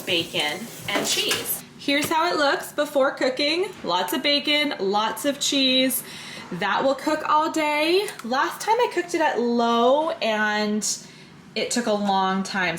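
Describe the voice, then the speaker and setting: A woman's voice: medium pitch, fairly bright tone, slight background noise. A middle-aged woman chatting casually and explaining things to camera, in the style of a home vlog. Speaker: homeschooling mother and vlogger.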